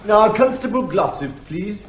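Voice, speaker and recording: A man's voice: sits high, lively intonation, a little slow. A man playing a polite, fussy defence barrister in a courtroom comedy drama, formal and prone to hesitant stammering when challenged. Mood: probing, polite. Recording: echoey room with faint noise.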